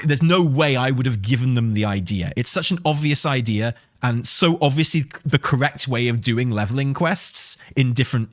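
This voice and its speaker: A man's voice: medium pitch, no room sound, studio quality. A man giving casual, enthusiastic gaming commentary, thinking aloud in an emphatic, conversational way and repeating himself for emphasis. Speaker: video game commentator and host.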